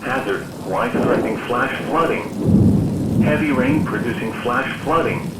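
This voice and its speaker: A man's voice: medium pitch, unhurried. A man's voice reading a scripted emergency weather bulletin in a formal, clipped, list-like way, as an automated announcer. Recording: echoey, distant, very noisy with storm.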